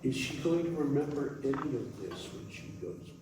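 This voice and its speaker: A man's voice: medium pitch, lively intonation, moderate pace. A man in an audience offering casual suggestions and feedback after a show. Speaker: audience member suggesting ideas. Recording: very echoey room, distant, faint noise.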